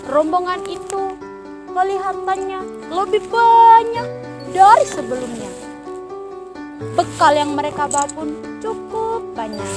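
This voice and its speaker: Teenage girl, very high voice, scripted folktale narration, declamatory, loud music under the voice. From Bima, West Nusa Tenggara, Indonesia. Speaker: student storyteller.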